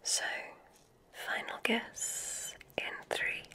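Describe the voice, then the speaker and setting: Slow whispering ASMR woman. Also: poor audio. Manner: slow, deliberate guiding with soft praise. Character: gentle, encouraging witch examiner at a magic school. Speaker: ASMR roleplay performer. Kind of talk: whispered ASMR medical exam roleplay.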